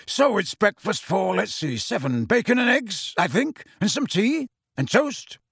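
A man's voice: high-pitched, measured pace, vocal fry, slightly rough, no echo, studio quality, pitched above the performer's own voice, heavily acted. A man playing a hearty, firm godfather. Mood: cheerful. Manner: loud and hearty, then firm and steady.

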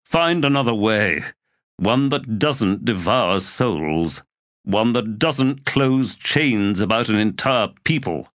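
A man's voice: on the low side, expressive, slow, fairly bright tone, no echo, studio quality. A man voicing Torrent, a weary and defiant young male warrior, in an audiobook reading.

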